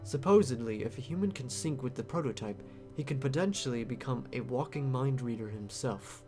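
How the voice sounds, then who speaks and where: A guarded, calculating man from a visual novel, read out by a male player. He bargains in a coolly casual, pressing way at a middling pitch, with faint music underneath. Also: lively intonation, brisk, darkish tone, lightly acted. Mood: measured, explanatory.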